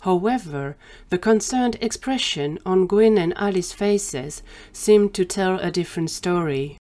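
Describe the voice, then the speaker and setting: A woman's voice: lowish voice, mellow. A woman narrating a scripted audio drama story as the storyteller.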